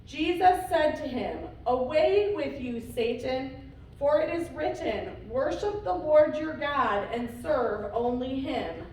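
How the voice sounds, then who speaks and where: A woman reading a scripture passage aloud in church at a measured, deliberate pace. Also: high voice, expressive, darkish tone. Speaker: scripture reader. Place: church sanctuary. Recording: echoey church from far off.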